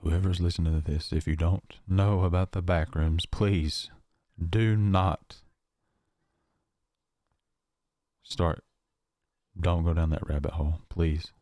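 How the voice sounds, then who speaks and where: A haunted, paranoid survivor, acted by a man, leaves a horror audio log in a very low, dark voice. He speaks in halting, confessional phrases with long pauses, keeping to a monotone. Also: no room sound, studio quality. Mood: fearful, pleading. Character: shaken, paranoid male survivor of a nightmare realm.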